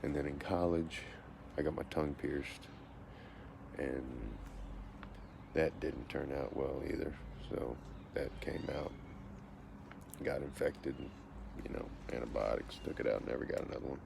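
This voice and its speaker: Man, 40s, deep voice. Reads questions and answers them matter-of-factly. Casual self-interview, noisy recording. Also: fairly even intonation, measured pace, vocal fry, long pauses. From Texas, USA. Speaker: vlogger answering a question tag.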